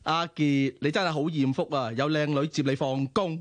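A cheeky, short-statured slacker of a workmate played by a male actor in a radio drama, with a distinctly high male voice. He banters glibly in Hong Kong Cantonese, mocking others and quick to take offence. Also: steady tone, natural voice. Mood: teasing.

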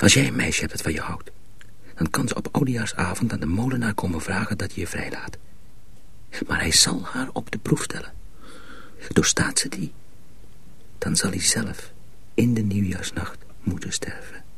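An actor playing a cautious, secretive young miller's apprentice in a radio drama, husky and often hushed, explaining things deliberately. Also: male voice, lowish voice, whispered, full character voice. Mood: grave, confiding. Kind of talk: Dutch radio drama acting.

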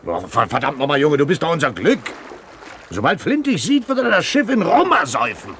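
An actor playing a sly, rough and boisterous pirate seaman in a German radio play, with a gravelly voice. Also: a little high, very animated, brisk, a bit bright, slight background noise. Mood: rough, hearty.

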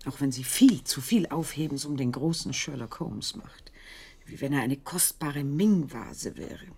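An actress playing a concerned, inquisitive Victorian woman in a German radio play, with an expressive, questioning and musing delivery. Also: extremely low pitch, measured pace, breathy edge, heavily acted. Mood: curious, wry.

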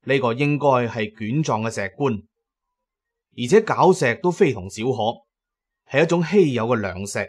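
A man's voice: mid-range voice, lively intonation, normal speed, darkish tone, breathy edge. A man giving dramatic first-person narration as the narrator of an audio drama.

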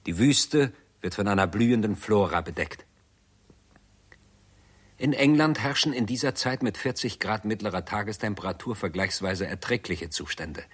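An actor narrating a radio drama as a grave chronicler, in a measured, sober, matter-of-fact manner. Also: male voice, fairly low pitch, lively intonation, husky. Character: grave male chronicler of a world catastrophe.

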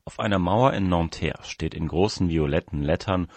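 A man reading a journalistic report aloud in a measured, factual manner. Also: sits low, breathy edge, no echo. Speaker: reader of a magazine report. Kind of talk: scripted narration of a journalistic report.

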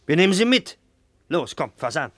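A man's voice: a little high, sing-song, normal speed, no room sound. A man playing a brash, mocking drifter with a bossy, theatrical manner in a German radio play. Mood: impatient, bossy.

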